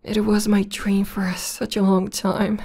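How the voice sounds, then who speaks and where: A woman playing a tender, lovestruck tomboy demoness in a romantic ASMR roleplay, speaking softly and haltingly with half-whispers. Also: mid-range voice, little pitch movement. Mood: happy, adoring. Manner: soft, halting, breathy half-whispers with emotional stammers. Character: tender, lovestruck tomboy demoness, millennia old, newly proposing.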